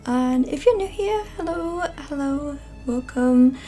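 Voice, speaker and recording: A woman's voice: sits high, lively intonation, slow pace, mellow, faint music behind. A young woman from Wales, chatting casually as a channel host, rambling with many fillers and self-corrections. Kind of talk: casual chatty vlog narration.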